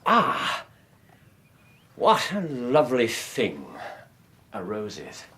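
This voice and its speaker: An actor playing a brilliant, eccentric Victorian detective in a radio drama, with an English accent and a theatrical, musing manner. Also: male voice, mid-range voice, huge pitch swings, drawn-out words, sharp tone, slight background noise, heavily acted. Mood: dreamy, philosophical. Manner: theatrical, musing, punctuated with hesitations and hums.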